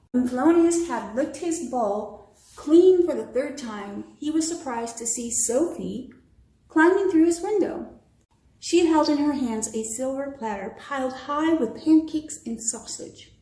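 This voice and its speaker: A woman's voice: high-pitched, animated, measured pace, dark tone. An American woman reading a bedtime story expressively to children, in a roomy, echoing home recording. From Michigan, United States. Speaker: storybook reader.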